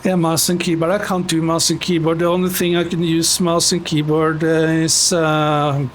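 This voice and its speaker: A man's voice: fairly high pitch, normal speed, a little hiss or hum. A young Norwegian man with a Norwegian accent, chatting casually with his viewers while he plays a game, with plenty of fillers. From Norway. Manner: relaxed, chatty, many fillers, answers chat. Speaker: streamer. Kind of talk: casual chat while gaming.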